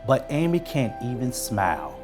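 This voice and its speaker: A man's voice: medium pitch, big pitch swings, moderate pace, darkish tone, soft background music. A man narrating a scripted children's story as a guest reader.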